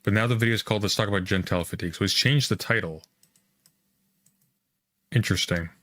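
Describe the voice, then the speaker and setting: A man's voice: fairly low pitch, quick pace, fairly bright tone. A man giving casual reaction commentary on a video.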